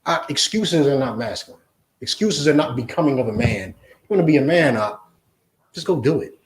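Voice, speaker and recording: A man preaching a forceful lesson to a class, often asking if they understand. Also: mid-range voice, animated, normal speed. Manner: forceful, repeats "you understand?". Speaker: religious teacher. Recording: echoey room.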